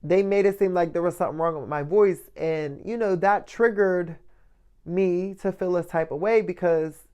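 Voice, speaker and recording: A man with a light, soft voice giving a casual motivational monologue as a vlogger, often checking in with the listener. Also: high-pitched, moderate pace, smooth, highs cut off. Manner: conversational, checks in often with "right?" and "you know".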